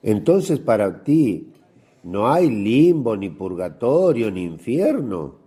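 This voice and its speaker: An actor playing an insistent, skeptical Pharisee interrogator with a husky voice in a devotional radio drama. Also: male voice, lowish voice, sing-song, slow, mellow, heavily acted. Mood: probing, skeptical. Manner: probing, pointed questioning.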